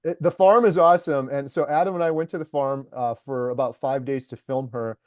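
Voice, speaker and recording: A man's voice: mid-range voice, steady tone, fairly quick, no echo, clean studio sound. A man who makes documentaries, talking casually and eagerly in an interview and filling his speech with "like" and "uh". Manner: enthusiastic storyteller, lots of fillers like "like" and "uh". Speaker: documentary maker and YouTuber.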